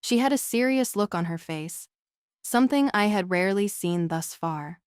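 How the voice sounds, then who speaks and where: Smooth, clear woman audiobook narrator. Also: medium pitch, animated, normal speed, no echo, studio quality. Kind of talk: scripted first-person novel narration.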